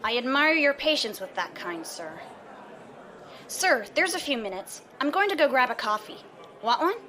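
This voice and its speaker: A woman plays a loyal starship executive officer in a space audio drama. She is respectful and chatty, with a fairly high voice and animated intonation. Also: normal speed, exaggerated voice. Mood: friendly, helpful.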